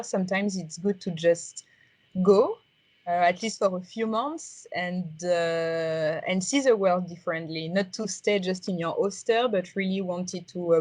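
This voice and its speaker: French-accented woman, thoughtful and halting. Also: fairly low pitch, darkish tone, no room sound. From France. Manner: halting, many fillers and restarts. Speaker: interviewee. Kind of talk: reflective conversational interview.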